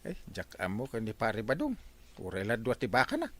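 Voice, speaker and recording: An actor with an Ilocano accent playing a blustery but easily placated village captain in a radio comedy drama. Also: male voice, lowish voice, wildly swooping pitch, a little fast. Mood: chatty.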